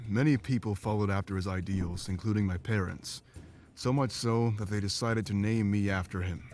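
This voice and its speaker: Man playing a low-voiced, guarded, loyal adventurer. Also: moderate pace, a little mellow, soft background music, close to an everyday voice. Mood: reluctant, matter-of-fact. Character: guarded, loyal male adventurer, plain-spoken travel companion. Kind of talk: scripted visual novel dialogue.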